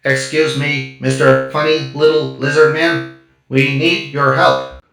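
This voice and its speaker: A man voicing a huge, gentle, timid loading droid in a storybook, speaking slowly and earnestly. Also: mid-range voice, little pitch movement, gravelly, echoey room, loud music behind the voice. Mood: slow, coaxing. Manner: slow, drawn-out, simple earnest delivery.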